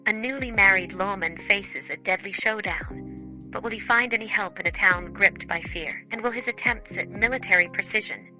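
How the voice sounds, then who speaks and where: A man's voice: high voice, moderate pace, music in the background, low-quality recording. A man reading scripted promotional narration as presenter of a radio drama collection. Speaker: compilation show presenter.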